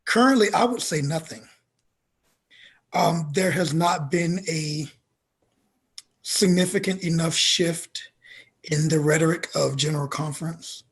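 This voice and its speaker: A man with a slightly high, creaky and husky voice gives measured commentary on a panel. He words things formally and deliberately.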